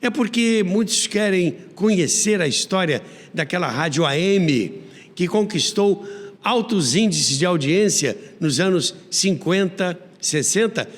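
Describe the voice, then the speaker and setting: A Brazilian man with a fairly high voice narrates as a podcast host. His delivery is emphatic and lively, in old-school announcer style, with long reverb around the voice. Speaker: radio history podcast host. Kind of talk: storytelling narration.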